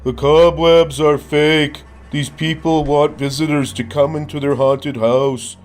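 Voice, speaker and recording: A man's voice: fairly high pitch, flat delivery, a little slow, voice pushed up, close to an everyday voice. A man voicing a confident young boy in a storybook, speaking in an emphatic, assured way. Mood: confident, urging. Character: bold confident young boy trick-or-treater. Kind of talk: read-aloud storybook voice.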